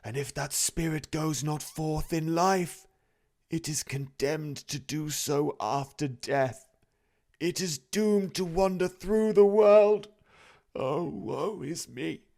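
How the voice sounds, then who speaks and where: A man voicing a tormented male ghost in chains, howling his words in a drawn-out, mournful way. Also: on the high side, vocal fry, a little mellow, pitched above the performer's own voice. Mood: wailing.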